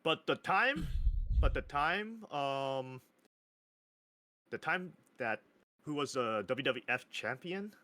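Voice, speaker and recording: A man's voice: medium pitch, lively intonation, takes it slowly, pauses often, sharp tone, no room sound. A man in his thirties, a podcast guest chatting casually, hesitant and laughing often. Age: 30s. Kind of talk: casual chat.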